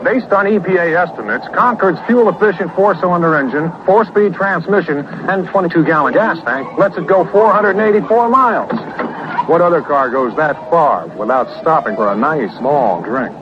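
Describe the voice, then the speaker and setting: A man's voice: on the high side, lively intonation, normal speed. A man reading a scripted car advertisement as a radio commercial announcer. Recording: dull, noisy old radio recording.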